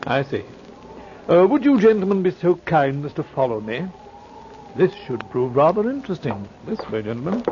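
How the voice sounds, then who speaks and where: Courteous ship's captain, higher-pitched, noisy radio. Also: male voice, big pitch swings. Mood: polite, businesslike. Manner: polite, formal, deliberate. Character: courteous, matter-of-fact male ship's captain presiding over grim discovery. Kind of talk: old-time radio drama acting. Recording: muffled, very noisy old radio recording.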